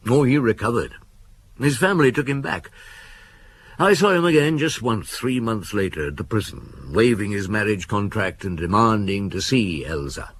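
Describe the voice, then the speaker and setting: An actor playing a shrewd, commanding chief inspector in a radio drama, laying out accusations in a deliberate, methodical way. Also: male voice, lowish voice, animated, slight background noise. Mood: reflective.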